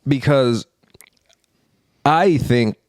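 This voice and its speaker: A man's voice: medium pitch, very slow, darkish tone, no echo. A man in his thirties co-hosting a podcast, giving his opinions in a rambling, earnest way with many fillers and restarts. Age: 30s. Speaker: podcast co-host. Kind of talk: casual opinion chat.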